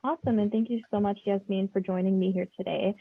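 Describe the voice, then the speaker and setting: A young woman hosting a casual podcast chat, talking in a relaxed way with lots of fillers. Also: mid-range voice, fast talker, gravelly, phone-quality sound, a little hiss or hum. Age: young adult. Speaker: podcast host.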